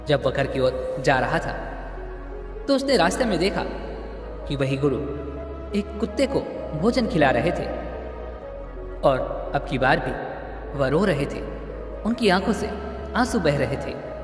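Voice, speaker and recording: A young man tells a moral story in an earnest, expressive way. His pitch sits a little high and moves a lot. The voice carries a long, roomy reverb with clear music playing under it. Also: a little slow, long pauses. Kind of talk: scripted narration of a moral story. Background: background music.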